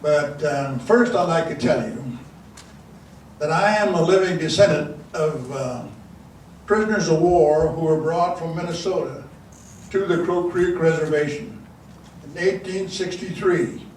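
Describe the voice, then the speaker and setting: A man's voice: a little high, darkish tone, recorded from a distance. Older man telling stories in an echoey hall. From South Dakota. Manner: folksy, halting with many restarts. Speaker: guest speaker. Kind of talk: storytelling talk. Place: large meeting room. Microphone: handheld mic. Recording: echoey room, mic from afar, faint hiss.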